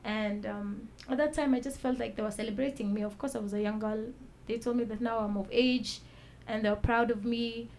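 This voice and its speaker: A woman telling her own story as a talk-show guest, soft-spoken and measured, pausing with fillers. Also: on the high side, steady tone, a little hiss or hum. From Kenya. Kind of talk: personal testimony in a talk show.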